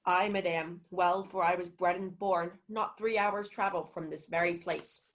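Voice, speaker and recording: A woman's voice: fairly low pitch, steady tone, unhurried, a little hiss or hum. A student actress playing a loyal, courteous male sea captain in a Shakespeare radio play. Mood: informative.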